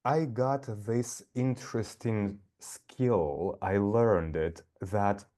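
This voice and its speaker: Slow-talking man. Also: fairly low pitch, warm tone. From Siberia. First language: Russian. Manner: thoughtful, rambling with many restarts and fillers. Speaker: guest, nature guide. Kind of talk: casual conversation.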